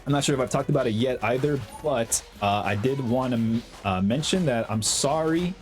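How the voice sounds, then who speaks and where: A young man with a slight cold, chatting excitedly over his own gameplay as the host of a gaming channel, full of slang and fillers. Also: medium pitch, moderate pace, soft background music. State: has a cold, slightly hoarse. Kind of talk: casual gameplay commentary.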